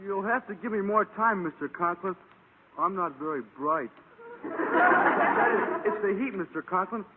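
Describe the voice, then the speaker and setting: A high-voiced dopey schoolboy. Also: male voice. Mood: sheepish, stalling. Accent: American. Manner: slow-witted, earnest. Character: dim-witted, sheepish teenage schoolboy. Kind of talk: 1940s-50s American radio comedy acting. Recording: crackly narrow-band old radio recording, loud noise.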